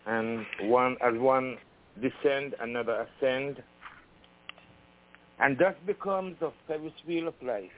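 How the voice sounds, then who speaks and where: A man's voice: mid-range voice, unhurried, long pauses, slight background noise. A man calling in to a show to read a poem, chatty and philosophical. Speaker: caller reading poetry. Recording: tinny phone line.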